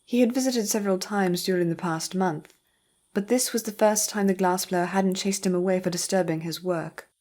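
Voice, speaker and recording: A woman narrating a scripted story in a measured, literary storytelling manner. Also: fairly low pitch, steady tone, darkish tone.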